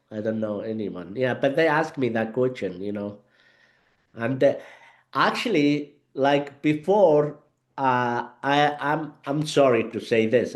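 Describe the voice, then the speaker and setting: A man from Yemen, with Arabic as his first language, speaks haltingly on a video call. He restarts often and leans on fillers. His voice sits at an ordinary middle pitch. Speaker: guest speaker. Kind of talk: casual conversation over video call.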